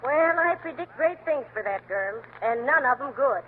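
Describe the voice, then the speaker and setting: A woman's voice: high-pitched, normal speed. A woman voicing a dry-witted, patient wife in an old radio comedy. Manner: dry, deadpan wisecracks. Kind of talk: 1930s radio comedy acting. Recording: tinny old radio recording with loud hiss.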